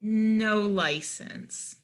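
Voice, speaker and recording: A woman's voice: medium pitch, extremely slow. A woman playing an eager, nervous, naive small-town young woman in a play reading, hesitant and full of questions. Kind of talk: play reading, stage comedy-drama dialogue.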